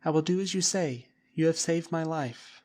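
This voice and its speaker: A man's voice: on the high side, normal speed, a little mellow, pitched above the performer's own voice, lightly acted. A man voicing a decisive young woman who firmly leads a group in danger. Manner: firm, decisive. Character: commanding young woman, cool-headed leader.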